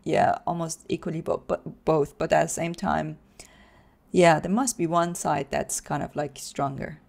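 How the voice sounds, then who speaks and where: A woman's voice: low-pitched, fairly even intonation, moderate pace, vocal fry, a little mellow. A woman hosting a podcast, talking conversationally as she interviews a guest.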